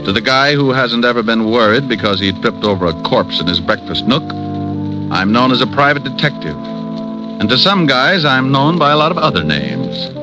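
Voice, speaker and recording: A man's voice: mid-range voice, big pitch swings, moderate pace, music in the background, lightly acted, poor audio. An actor playing a world-weary, wisecracking male private detective who narrates in an old radio drama. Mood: wry. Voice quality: dry wisecracking delivery. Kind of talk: 1950s radio drama acting. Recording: old time radio recording.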